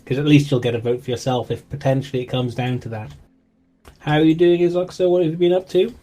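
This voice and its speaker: A man's voice: a little high, quick pace, mellow. A young man with an English accent, chatting casually while co-hosting a game stream and greeting viewers in chat. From England. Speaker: co-host streamer.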